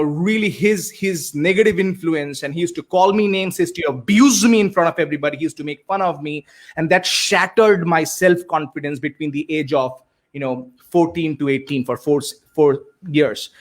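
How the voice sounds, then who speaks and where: Indian coach, male, Bengali native speaker, earnest storyteller, filler-heavy, slightly raised pitch. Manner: earnest, many fillers and repeated starts. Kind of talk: conversational storytelling.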